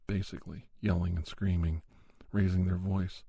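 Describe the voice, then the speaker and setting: A man hosting an ASMR podcast, giving a spoken monologue in a measured, reflective way with pauses. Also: sits low, level tone, creaky, mellow, slightly rough, dry recording.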